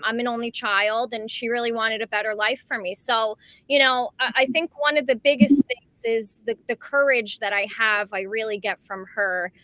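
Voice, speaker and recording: Woman, high bright voice, chatty and effusive podcast guest, really dry close sound. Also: dry recording. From born in Slovakia, grew up in America from age five. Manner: effusive, talkative. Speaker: podcast guest, life coach. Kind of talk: conversational interview storytelling.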